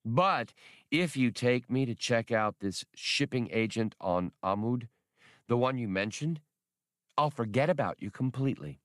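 A man voicing a self-assured, businesslike woman in an audiobook, brisk and chiding. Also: mid-range voice, animated, a bit bright, no room sound, clean studio sound, voice pushed up. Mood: brisk, persuasive.

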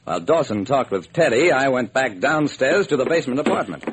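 A man's voice: mid-range voice, big pitch swings, fairly quick, natural voice. A man acting as a hard-boiled sleuth narrating his case in an old-time radio crime drama, clipped and wry. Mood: wry, probing. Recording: noisy vintage radio recording.

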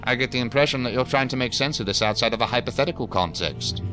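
A man acting a jaded male professor in a sci-fi audio drama. He lectures in wordy, condescending sentences with dry sarcasm. His pitch sits in the middle range, with faint music behind him. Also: fast talker, natural voice. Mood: dry, amused. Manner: pompous, wordy, sardonic.

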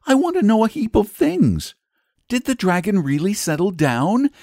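A man's voice: high-pitched, huge pitch swings, normal speed, a little mellow, dry recording, studio quality, pitched above the performer's own voice, full character voice. A man voicing a curious young girl in a storybook, eager and insistently questioning.

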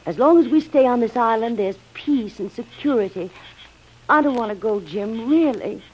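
A woman's voice: on the high side, expressive, normal speed, slightly rough. An actress playing an anxious woman castaway in an old radio serial, pleading earnestly. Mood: fearful, pleading. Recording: muffled old radio recording.

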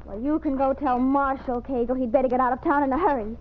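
A noisy, narrow old radio recording of a woman acting a young frontier heroine. Her voice is high and earnest, with an American accent and spirited delivery. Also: steady tone, quick pace, natural voice. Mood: defiant.

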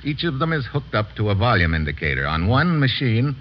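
A man's voice: medium pitch, big pitch swings, brisk, lightly acted. Casting: male actor as commanding American investigator, clipped and resourceful, vintage radio thriller, muffled noisy recording. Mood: focused, businesslike. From United States. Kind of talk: old-time radio drama acting.

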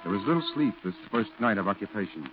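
Man playing solemn, expressive war correspondent narrator. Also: lowish voice, quick pace, phone-quality sound, lightly acted. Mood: grave, suspenseful. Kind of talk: dramatic 1940s radio narration. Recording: thin old radio recording. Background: music.

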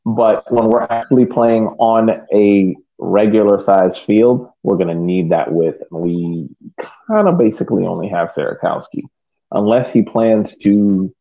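Low man on tinny, phone-like audio. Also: a little slow, vocal fry. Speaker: soccer podcast co-host. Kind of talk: casual sports analysis.

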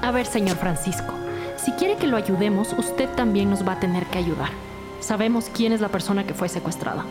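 Actress doing tough lady cop, firm n commanding, normal pitch, audio drama w music under. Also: animated, a little fast, natural voice. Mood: stern. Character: firm, no-nonsense female police detective Marino. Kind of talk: scripted crime audio drama dialogue.

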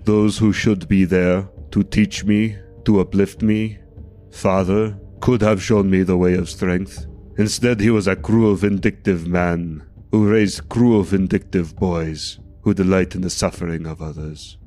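A stony, anguished fantasy warrior brother, performed by a male player, very low and dark in tone. The speech is formal and grave, proud and wounded, with faint music underneath. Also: steady tone, unhurried. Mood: indignant, pained. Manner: grave, formal, theatrical speech without contractions. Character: brooding, proud, hardened brother, stoic yet anguished.

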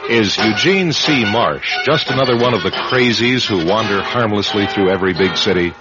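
A man narrating a radio drama as its announcer, in a measured, theatrical, scripted style. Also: on the low side. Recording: lo-fi radio recording with loud music. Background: loud music.

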